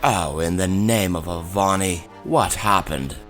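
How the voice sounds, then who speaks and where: A man playing a tired, gentle father in a fantasy audio drama, speaking slowly and patiently with sighs. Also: sits low, faint music behind. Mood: weary. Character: tired, gentle father scolding his young son.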